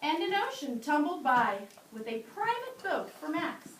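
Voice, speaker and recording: A young woman teaching a class, reading a storybook aloud with animated, dramatic delivery and asking the children questions. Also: high voice, moderate pace, a little hiss or hum. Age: young adult. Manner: animated, swells loud for dramatic lines, drops soft for quiet ones. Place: school classroom. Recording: echoey classroom from far off.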